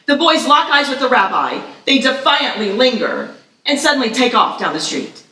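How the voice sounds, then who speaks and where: A woman with a fairly high voice reads stage directions and announcements at a live event. She sounds some distance from the mic in a roomy, echoing hall. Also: sing-song, moderate pace, bright, forceful tone. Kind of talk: live event announcing and scripted screenplay narration. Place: live festival table read, echoing room. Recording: echoey hall from far off.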